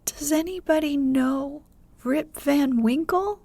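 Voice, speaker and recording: A woman's voice: sits high, takes it slowly, creaky, a little mellow, no room sound, voice pushed up, full character voice. A woman voicing a bewildered, humble poor old man in a bedtime storybook reading, pleading and despairing. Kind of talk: storybook character voice.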